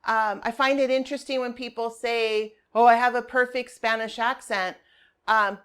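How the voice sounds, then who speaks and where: A woman teaching high school Spanish, walking parents and students through her class website in a friendly, explanatory way with frequent ums. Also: steady tone, moderate pace, a bit bright, clean tone. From family from Colombia. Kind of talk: screen-share class walkthrough.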